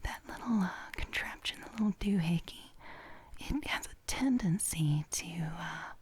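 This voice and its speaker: A woman whispering gently and haltingly in a soft-spoken ASMR personal-attention roleplay, playing an attentive makeup artist. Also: lowish voice, animated, husky. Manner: gentle, hushed, unhurried, halting with soft pauses.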